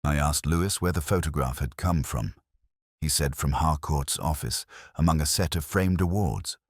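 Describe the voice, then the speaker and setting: A man playing a world-weary police inspector, recounting a case in measured, literary, reflective detective narration. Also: very deep voice, dry recording, studio quality. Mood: somber, watchful. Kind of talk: scripted first-person detective story narration.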